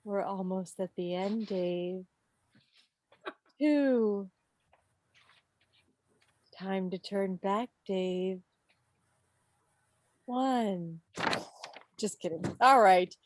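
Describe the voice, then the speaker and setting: A woman's voice: medium pitch, slow, long pauses, a little hiss or hum. A woman hosting a quiz over a video call in a playful, teasing, theatrical way. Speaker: quiz host. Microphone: video call microphone.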